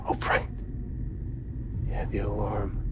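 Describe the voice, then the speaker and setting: An actor playing a nervous, volatile drill worker in a video game, stammering and cajoling in an unhinged way. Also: male voice, on the low side, completely flat delivery, slow, lo-fi sound, heavy background noise. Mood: nervous, awkward. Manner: stammering, cajoling, swinging into outbursts. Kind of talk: video game dramatic acting.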